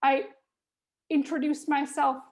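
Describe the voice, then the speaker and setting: A woman who stutters, reading her own spoken word poem in a measured, rhythmic way over a video call. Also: sits high, level tone. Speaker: poet reading her chapter.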